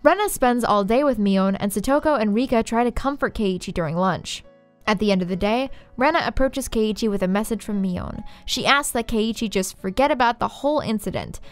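A young woman narrates a story recap as a video essayist. Her voice sits a bit high and her delivery is sardonic and informal, over quiet background music. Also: animated, a little fast, clear, resonant tone. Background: faint music.

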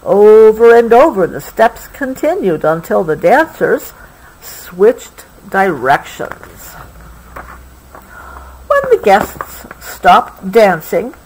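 A woman reads a children's picture book aloud. Her pitch moves in animated swings while the pace stays leisurely. She is patient and stops to clarify words as a parent would. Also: a little hiss or hum, low-quality recording. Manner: patient, explanatory, pausing to clarify. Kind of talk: expressive storybook read-aloud with explanatory asides.

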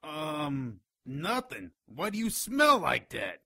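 High, raspy wisecracking weasel. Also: male voice, huge pitch swings, takes it slowly, sharp tone, heavily acted. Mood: sulky, flippant. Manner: sarcastic, wisecracking. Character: flippant, lecherous, self-serving male sidekick with odd ears. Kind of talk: radio drama acting.

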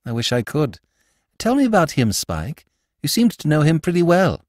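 Man playing a suave, animated gentleman thief. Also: a little high, normal speed, no echo, clean studio sound, pitched above the performer's own voice. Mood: wry. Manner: articulate, teasing, assured.

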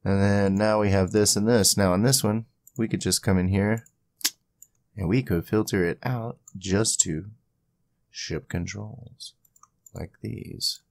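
A man hosting an informal screen-recording software tutorial, rambling a little as he thinks aloud. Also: on the low side, fairly even intonation, slow, vocal fry, dry recording. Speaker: tutorial host.

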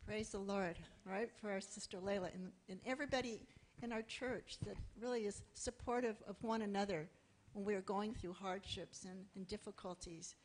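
A woman's voice: mid-range voice, a little hiss or hum. A woman leading a church service, encouraging the congregation to support one another. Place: church sanctuary.

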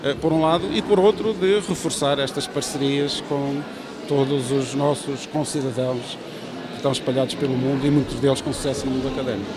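A middle-aged man of medium pitch speaks with a European Portuguese accent over clear crowd noise. He is formal and slightly halting as he answers. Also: fairly even intonation, rough recording. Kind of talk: formal interview remarks.

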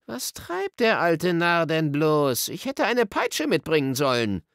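Man putting on an imperious, high-pitched witch. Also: wildly swooping pitch, measured pace, creaky, fairly bright tone, no echo, clean studio sound, exaggerated voice. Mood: impatient contempt. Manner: scornful, haughty. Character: imperious, impatient witch woman.

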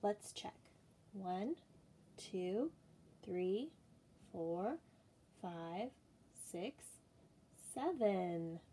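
Young woman teacher, storytime for little kids, patient and soft-toned, faint hiss behind. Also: mid-range voice, animated. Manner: slow, patient, sing-song counting. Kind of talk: reading a picture book aloud and counting for young children. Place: small room indoors.

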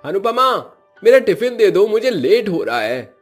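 A man voicing a hurried, polite office-going son and husband in a cartoon, speaking urgently. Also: high voice, very animated, slightly rough, soft background music, pitched above the performer's own voice, heavily acted.

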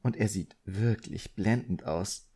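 A man's voice: fairly low pitch, unhurried, warm tone, slightly rough. A man voicing a gossipy, shallow society woman in an audiobook, affected and gushing. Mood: gushing, disdainful.

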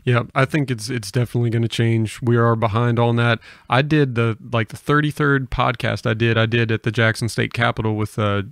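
An American man hosts a podcast in a casual, conversational style. His voice sits at a middle pitch with plenty of fry, recorded close and dry in a studio. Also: level tone, a little fast, few pauses, dry recording. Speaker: podcast host. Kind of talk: casual chat. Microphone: close studio microphone.

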